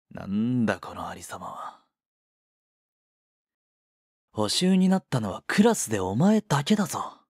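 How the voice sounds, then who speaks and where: A man's voice: medium pitch, no echo, studio quality. An actor playing a smug, condescending, possessive male schoolteacher in a romantic audio drama. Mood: stern, teasing. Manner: condescending, teasing.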